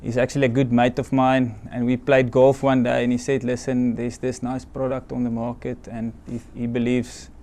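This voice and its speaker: A middle-aged South African man for whom English is a second language, answering interview questions in a modest, hesitant way with many pauses. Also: medium pitch, little pitch movement, darkish tone. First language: Afrikaans or other non-English language. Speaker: team doctor, guest.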